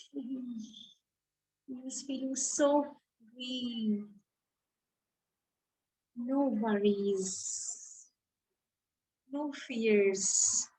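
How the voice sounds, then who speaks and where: A woman reading a children's picture book aloud expressively, pausing dramatically and repeating phrases for young listeners. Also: high-pitched, poor audio. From India.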